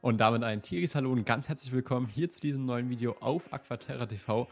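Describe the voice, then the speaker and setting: A man in his twenties with a slight cold, hosting a relaxed question-and-answer vlog in a chatty, easygoing way. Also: mid-range voice, fast talker, gravelly. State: slightly ill. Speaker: host. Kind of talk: casual Q&A vlog. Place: indoor room with plant wall and lit shelves.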